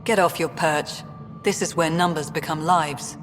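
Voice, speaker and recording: A woman playing a brisk, no-nonsense colonial director in a video game, blunt, clipped and authoritative. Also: low-pitched, fairly even intonation. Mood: brisk, challenging. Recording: reverberant, faint background noise.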